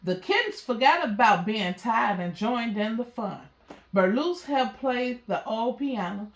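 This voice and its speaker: A woman's voice: on the high side, expressive, a little slow. A woman reading a children's story aloud as a narrator. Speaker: storybook reader. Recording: roomy, echoey sound.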